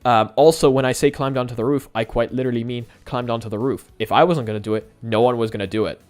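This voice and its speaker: A man's voice: mid-range voice, fairly even intonation, fast, a little mellow. Young Polish-born man chatting on stream. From Poland. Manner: chatty and candid, laughs easily. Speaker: YouTuber. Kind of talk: live stream chat, answering viewer comments.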